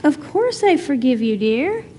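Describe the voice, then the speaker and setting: A caring, earnest aunt, acted by a woman with a higher-pitched voice and a natural dramatic delivery. She sounds unsure of herself, over faint background noise. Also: very animated, a little slow, warm tone. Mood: surprised, tender.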